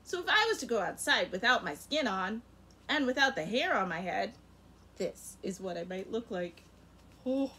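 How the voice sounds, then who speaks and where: A young woman teacher reading a picture book aloud to kindergarten children, very expressive, her loudness rising and falling sharply. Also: medium pitch, moderate pace, slight background noise. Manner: expressive and animated, with big swings in loudness. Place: home room in front of a rainbow feather backdrop.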